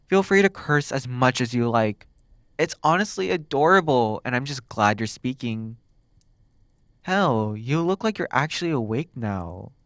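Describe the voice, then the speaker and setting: Casting: male actor as a sardonic, flirtatious demon for ASMR roleplay, mid-pitched, intimate, teasing with lingering pauses, recorded close and dry. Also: a bit bright, dry recording. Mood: teasing, fond. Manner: teasing, sardonic, drawn-out words with dramatic pauses. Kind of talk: ASMR roleplay, intimate one-sided dialogue.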